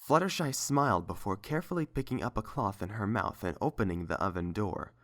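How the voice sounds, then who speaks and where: This is a storyteller reading scripted fiction as an audiobook. The delivery is measured, with a lively, varied melody in the pitch. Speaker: narrator and reader.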